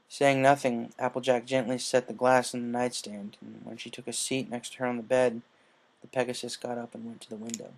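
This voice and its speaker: A man narrates a written story. His tone is dark and mellow, in the measured style of someone reading fiction aloud. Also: mid-range voice.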